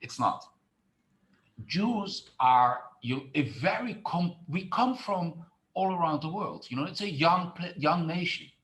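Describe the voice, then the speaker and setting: A man's voice: fairly high pitch, animated, a little slow, a bit bright. A middle-aged man from the Netherlands, a tourism marketing expert who speaks with many fillers and restarts in an online presentation.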